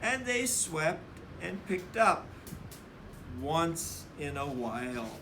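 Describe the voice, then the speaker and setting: A man reading a children's story aloud in a deliberate, emphatic storytelling manner. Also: fairly high pitch, big pitch swings, pushed and bright, soft background music. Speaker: storybook reader.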